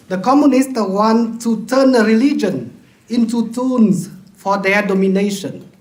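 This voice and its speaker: Vietnamese priest guy, pretty high voice, formal talk, roomy hall sound. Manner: slow and deliberate, formal. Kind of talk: prepared conference speech. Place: large hotel conference hall. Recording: echoey hall.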